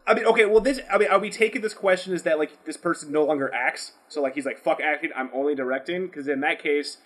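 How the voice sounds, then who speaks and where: A man's voice: on the high side, fast, fairly bright tone. A man co-hosting a podcast, bantering casually with brash, blunt opinions and heavy swearing. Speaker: podcast co-host.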